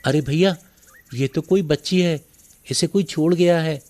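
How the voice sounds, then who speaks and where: A man's voice: a little high, measured pace, a little mellow, slight background noise, close to an everyday voice. A man playing a poor, kind-hearted younger brother, meek, earnest and pleading, in a moral story cartoon. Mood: concerned.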